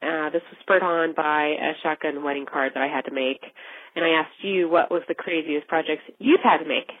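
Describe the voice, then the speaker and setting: Female podcast host, stuffy from a cold, chatty, lowish, dry lo-fi audio. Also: fairly even intonation, normal speed, dry recording. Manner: chatty, self-deprecating. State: has a cold. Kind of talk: casual podcast hosting. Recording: dry, lo-fi narrow sound.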